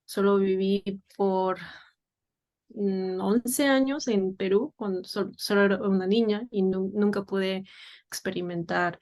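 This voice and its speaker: Woman guest from Peru, unhurried and halting, mid-range voice, casual interview. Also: mid-range voice, fairly even intonation, darkish tone. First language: Spanish. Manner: hesitant, many fillers and restarts. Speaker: guest, English teacher for children.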